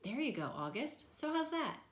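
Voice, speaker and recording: A woman's voice: high-pitched, sing-song, moderate pace, roomy sound, pitched above the performer's own voice. A woman voicing an upbeat, reassuring male ear doctor in a storybook, chummy and explanatory. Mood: upbeat, coaxing.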